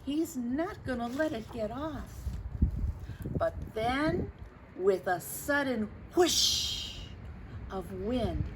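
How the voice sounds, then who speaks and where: A woman reading a picture book aloud to children, expressive and dramatic, stretching words and chatting to her young listeners. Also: on the high side. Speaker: storyteller for children. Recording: clearly noisy background.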